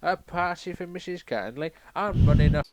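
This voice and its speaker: A man playing a crabby, boastful railway boss who reads a storybook aloud with irreverent, deadpan asides. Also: on the high side, normal speed, muffled recording, heavy background noise, natural voice. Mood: mock-polite, scornful. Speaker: comic storybook reader. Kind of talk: irreverent ad-libbed storybook reading.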